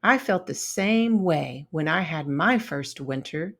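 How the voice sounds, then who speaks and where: A woman voicing a gentle old male stag beetle. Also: low voice, expressive, slow, creaky, full character voice. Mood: gentle, reassuring. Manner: gentle, soft-spoken, grandfatherly. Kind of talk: children's storybook read-aloud.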